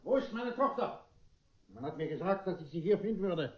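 An actor playing a vain, gullible, domineering middle-aged father in a comic radio play, blustering and halting with hems and haws. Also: high-pitched, lively intonation, slightly rough. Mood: domineering, impatient. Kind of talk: German radio play comedy acting. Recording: muffled radio drama recording.

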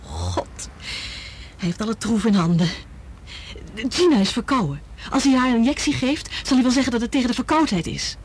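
A woman's voice: on the high side, very animated, moderate pace, breathy edge. An actress in a radio drama playing an anxious, determined woman scientist who speaks urgently and earnestly. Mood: anxious, distressed.

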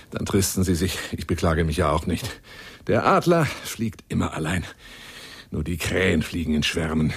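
An actor playing a lonely, boastful bachelor big-game hunter on the phone in a radio drama monologue, courtly, theatrical and insistent. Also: male voice, sits low, creaky, breathy edge, no echo, exaggerated voice. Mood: confiding, philosophical.

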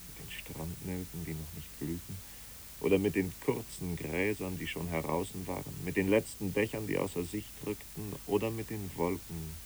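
An actor playing a brooding, grieving man in a poetic German radio drama, speaking in halting, musing phrases. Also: low-pitched, a little mellow, low-quality recording. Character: brooding, grieving male friend, haunted by memories. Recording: clear tape hiss.

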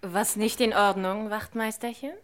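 A woman's voice: medium pitch, fairly even intonation, measured pace, close to an everyday voice. An actress playing a coy, teasing young lady in a vintage radio crime comedy.

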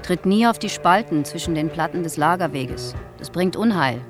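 An actor playing an old man who survived the camps, giving a deliberate, reflective witness account in a radio drama. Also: fairly high pitch, expressive, close to an everyday voice. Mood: haunted recollection. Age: older. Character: old male concentration camp survivor, haunted, plainly recalling. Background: guitar music.

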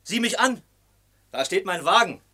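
An actor playing a drunken, insistent ex-lover who calls out, pleading and belligerent, in a radio play. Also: male voice, high voice, lively intonation, normal speed, full character voice. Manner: loud calling, insistent. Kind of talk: German radio play acting.

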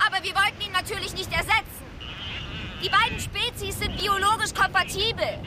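An actress playing an idealistic, grieving researcher, earnest and reflective, in a radio drama. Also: female voice, very high voice, steady tone, brisk, a crowd in the background, background noise. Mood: defensive. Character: grieving, idealistic female researcher on a remote island. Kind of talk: German radio drama acting. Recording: loud outdoor ambience over dialogue.

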